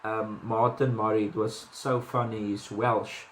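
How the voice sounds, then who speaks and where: A man's voice: lowish voice, flat delivery, measured pace, echoey room, slight background noise, low-quality recording. A man with a South African accent giving casual boxing commentary, chatty, with many ums and restarts and self-deprecating asides.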